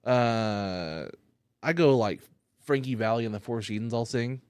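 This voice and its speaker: Rambling, cursing comedian, dry close sound. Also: male voice, medium pitch, a little slow, a little mellow, smooth, dry recording. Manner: rambling, loose, curses often. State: high. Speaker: stand-up comedian. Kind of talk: casual podcast chat.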